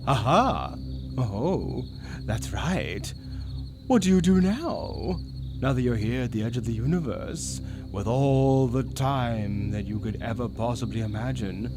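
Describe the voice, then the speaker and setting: A man voicing an ancient, smug demon tempter in a dark romance audio roleplay, with playful, sinister, theatrical delivery and drawn-out teasing words. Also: medium pitch. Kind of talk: dark romance ASMR character monologue. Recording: voice over dark ambient music. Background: loud dark ambient music.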